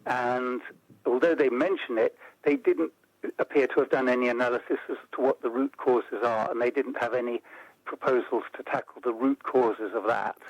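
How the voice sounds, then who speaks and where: Older British man, measured and gravelly. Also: mid-range voice, steady tone, no echo, highs cut off. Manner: measured, explanatory, builds points step by step. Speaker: interview guest, policy analyst. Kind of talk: radio interview.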